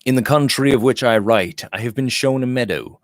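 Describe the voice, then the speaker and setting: A man narrating a literary novel as an audiobook reader, with deliberate, expressive phrasing. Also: medium pitch, dry recording.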